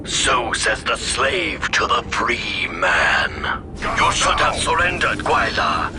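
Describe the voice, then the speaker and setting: An actor playing a proud alien military commander in a video game, measured, imperious and persuasive. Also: male voice, lowish voice, animated. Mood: stern, imperious. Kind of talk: dramatic video game voice acting. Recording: voice amid clear battlefield noise.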